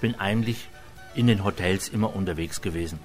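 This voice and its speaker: A man's voice: low voice, a little fast. Franconian cook guy, homely rambly talk, lots of ums, faint music behind. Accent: Franconian German. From Franconia, Germany. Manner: homely, rambling, many hesitations. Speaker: restaurant chef. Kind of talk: cooking demonstration chat.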